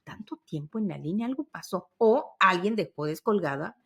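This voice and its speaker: A woman co-hosting a podcast in casual, nostalgic chat, telling anecdotes in an animated way and acting out what people said. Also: medium pitch, moderate pace, gravelly, crowd noise. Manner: animated anecdote-teller who acts out quoted remarks. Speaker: podcast co-host.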